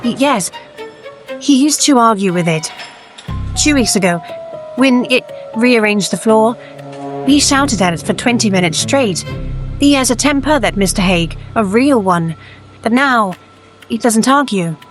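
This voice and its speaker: A woman playing a wary, high-voiced factory worker. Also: lively intonation, soft background music. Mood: uneasy, confiding. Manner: hesitant, halting, urgent. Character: wary, sharp-eyed working-class female factory hand, earnest. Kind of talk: gothic period audio drama acting.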